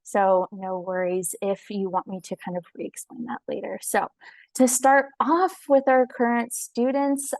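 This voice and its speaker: A woman's voice: fairly high pitch, dry recording, rough recording. A young woman moderating a student panel over a video call, explaining things in an organized way. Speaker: panel host. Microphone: video call microphone.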